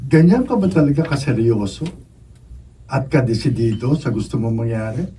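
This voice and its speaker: Guy doing a teasing pushy young buddy, mellow tone, cajoling, radio drama style, bit far from mic. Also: medium pitch, expressive, moderate pace, a little hiss or hum, close to an everyday voice. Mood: needling. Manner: cajoling, playful, needling. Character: teasing, pushy young male friend and hopeful suitor. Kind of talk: Filipino radio drama acting. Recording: mellow, distant room mic.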